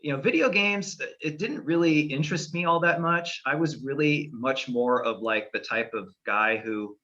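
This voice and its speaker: A man's voice: on the high side, moderate pace, pushed and bright, dull audio. A middle-aged man from California, a guest astrologer, talking casually and meandering with fillers and restarts on a podcast.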